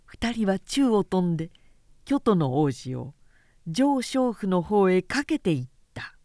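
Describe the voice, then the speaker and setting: A woman narrating a literary audiobook in a measured, expressive, dramatic storytelling manner. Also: mid-range voice, no echo, studio quality. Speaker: narrator, reader.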